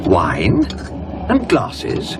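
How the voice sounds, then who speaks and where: Watchful, low man over loud rumble. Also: wildly swooping pitch, extremely slow, exaggerated voice. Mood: calm. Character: steady, watchful, concerned man in a secret plot. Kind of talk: radio drama acting. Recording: loud vehicle rumble and ambience.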